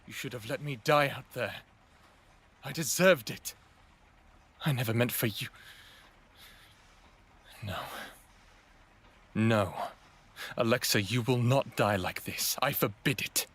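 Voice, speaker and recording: A wounded human smartass in a fiction podcast. His voice is rough and husky at an average male pitch. He is wry and self-deprecating, with anxious emotion under the sarcasm. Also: takes it slowly, long pauses, fairly bright tone, full character voice. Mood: guilty, shaken. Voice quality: raspy. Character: wounded sardonic human man, thirty-eight, anxious and self-blaming. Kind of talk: first-person audio drama narration and dialogue.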